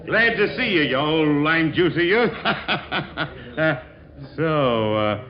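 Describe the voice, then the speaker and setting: Blustering, hearty middle-aged American patriarch; very animated folksy delivery, vintage radio comedy on a muffled recording. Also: male voice, a little high, slow. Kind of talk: 1940s radio comedy acting.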